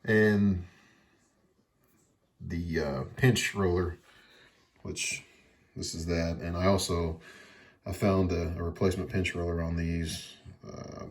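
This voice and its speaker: Low-pitched monotone man explaining a repair. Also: slow, pauses often. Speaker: repair video host. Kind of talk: casual hands-on repair tutorial.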